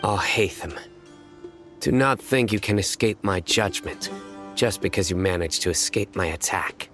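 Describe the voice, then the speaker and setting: An actor playing a stern, unforgiving judge and enforcer in a video game, grave, formal and threatening. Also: male voice, sits low, a little slow, music in the background. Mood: menacing. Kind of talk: video game cutscene acting.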